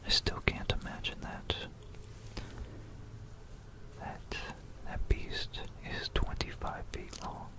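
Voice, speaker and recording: An ASMR narrator from Florida with an American accent whispers a musing, explanatory history talk close to a stereo microphone. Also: poor audio. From Florida, United States. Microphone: close stereo binaural microphone.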